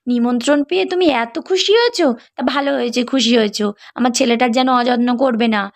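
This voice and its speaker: A woman voicing a fond elderly village mother-in-law in a cartoon family drama, coaxing and gently reproachful in a homely way. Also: a little high, brisk, lightly acted. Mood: gently chiding.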